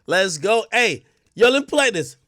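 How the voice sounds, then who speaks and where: A man's voice: high voice, big pitch swings, moderate pace, sharp tone, dry recording, studio quality. Profane man chatting to his stream. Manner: loose, profane, talks straight to chat. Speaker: live streamer. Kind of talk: casual live-stream chat.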